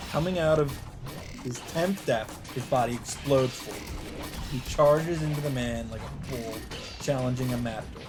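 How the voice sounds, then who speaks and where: A man doing a let's play, reading a visual novel aloud as dramatic narration in halting phrases, with some off-the-cuff reactions. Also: mid-range voice. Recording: music behind the voice. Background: game soundtrack music.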